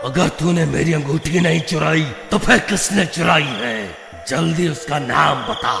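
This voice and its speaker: A man voicing an angry, threatening skeleton ghost with a gravelly voice in a children's cartoon story. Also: fairly high pitch. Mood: menacing. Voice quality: gravelly, creaky. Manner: slow, threatening, commanding. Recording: echoey reverb, music behind.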